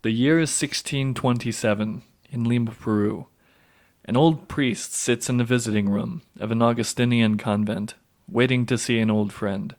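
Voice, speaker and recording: A man narrating an audio drama from a script in a measured storytelling manner. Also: medium pitch, steady tone, a little mellow.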